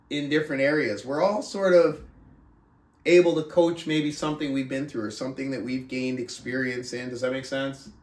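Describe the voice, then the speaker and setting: A man hosting a book club podcast, talking casually and reading aloud with deliberate, drawn-out emphasis and pauses. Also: medium pitch. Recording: roomy, echoing sound.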